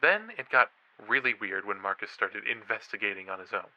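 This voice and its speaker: Eager male college podcaster, audio drama; very bright, forceful voice, theatrical storyteller. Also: lowish voice, animated, brisk, dull audio, full character voice. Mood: animated, conversational. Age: young adult. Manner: dramatic, playful. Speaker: college true crime podcaster.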